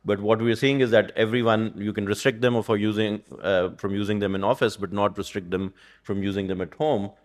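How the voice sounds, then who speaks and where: A man speaks on a conference panel as an economist. His voice sits low, and he talks in a thoughtful, rambling way, tagging points with "you know" and "right?". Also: flat delivery, a little fast. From Pakistan. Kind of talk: panel discussion. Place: conference stage.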